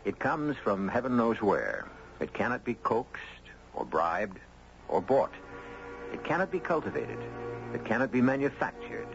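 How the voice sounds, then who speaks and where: Male host-narrator for vintage radio mystery: deep, expressive, measured and theatrical, music behind. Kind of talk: dramatic scripted narration, 1970s radio. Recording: muffled old radio broadcast.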